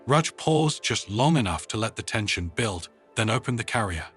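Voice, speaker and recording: A man narrating a scripted story in a dramatic, expressive audiobook style. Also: fairly low pitch, normal speed, soft background music.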